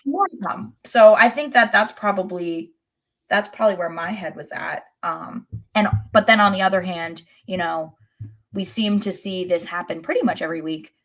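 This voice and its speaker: A woman's voice: lowish voice, moderate pace, fairly bright tone. A woman co-hosting a podcast, giving casual sports analysis in a wry, blunt, critical way. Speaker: podcast co-host.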